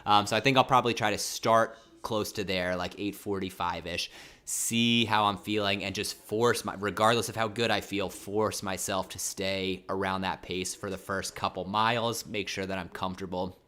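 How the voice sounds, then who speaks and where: Deep-voiced chatty man with a cold. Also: steady tone, moderate pace, pushed and bright. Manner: rambling, self-correcting, chatty. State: mild cold, slightly sore throat. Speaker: livestream host. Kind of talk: casual livestream chat with viewers.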